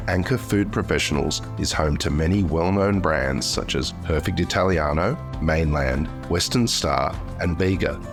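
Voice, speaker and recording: A man reading a scripted sponsor advertisement in a measured, smooth delivery. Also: rumbling low. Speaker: ad voice-over. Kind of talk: scripted ad read. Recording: clear guitar music bed.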